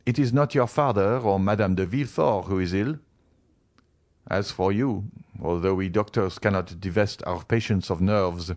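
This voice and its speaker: A man reading an audiobook, voicing a kindly, calm older male physician who speaks slowly and soothingly. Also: deep voice, dry recording, close to an everyday voice. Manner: slow, soothing, measured.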